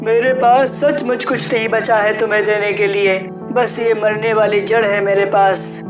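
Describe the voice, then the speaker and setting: A woman voicing a kindly, self-giving old mango tree in a gentle, apologetic way for a children's moral story. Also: mid-range voice, steady tone, unhurried, over music, voice pushed up, natural voice. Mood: sorrowful.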